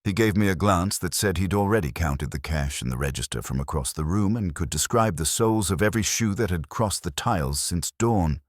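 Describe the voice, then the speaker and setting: A man with a gravelly, husky voice, narrating a scripted detective story in a wry noir manner. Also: deep voice, animated, fairly quick, few pauses, no room sound, studio quality. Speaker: audiobook narrator.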